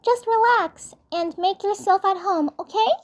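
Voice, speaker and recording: A woman's voice: extremely high pitch, measured pace, voice pushed up. A woman voicing a sweet, innocent, affectionate young cartoon superheroine with a tender, cooing delivery. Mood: soft, caring.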